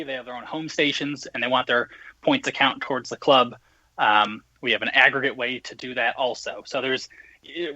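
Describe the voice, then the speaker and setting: A man's voice: mid-range voice, bright, forceful tone, dry recording. A young adult man explaining things conversationally as an interview guest, on dull call audio. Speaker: guest, event organizer.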